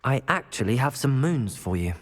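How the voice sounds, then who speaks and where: Student wizard, video game, polite, composed, formal, mid-pitched, varied melody. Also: male voice, sing-song, normal speed. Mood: polite, curious. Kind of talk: video game character acting.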